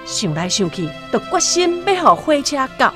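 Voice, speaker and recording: A woman with a Taiwanese accent narrates a scripted story for radio in an expressive, deliberate, dramatic way. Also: high-pitched. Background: music.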